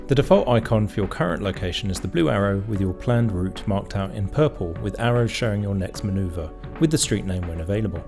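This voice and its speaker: Low-voiced man narrating a tutorial over music. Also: animated, fairly quick, creaky, hardly any pauses. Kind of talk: scripted instructional narration. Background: background music.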